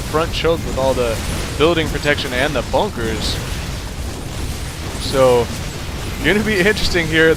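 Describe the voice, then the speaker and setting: Nasal young man casting a game. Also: background noise. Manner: relaxed and rambling, gets louder and higher as the action heats up. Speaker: game caster. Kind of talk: casual game commentary. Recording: music playing behind the voice.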